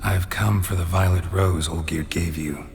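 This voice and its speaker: Low, gravelly, stoic monster hunter, acted by a man. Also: lightly acted. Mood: wary, probing. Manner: terse, deadpan, measured. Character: stoic, blunt male monster-hunting witcher, world-weary. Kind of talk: restrained video game acting. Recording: reverberant hall, noisy ambience behind.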